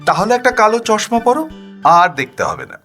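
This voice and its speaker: A man voicing a practical wife in an audio story, brisk, teasing and questioning. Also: high voice, wildly swooping pitch, bright, forceful tone, soft background music, voice pushed up, heavily acted.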